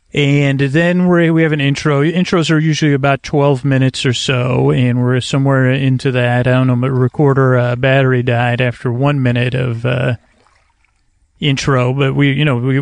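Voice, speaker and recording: A man hosting a sleep podcast with a creaky voice, soothing and meandering, often halting to correct himself and drifting into tangents. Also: mid-range voice, dry recording. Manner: soothing, lulling delivery. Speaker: podcast host. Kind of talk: rambling sleep-podcast monologue. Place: outdoors, in a kayak on a river.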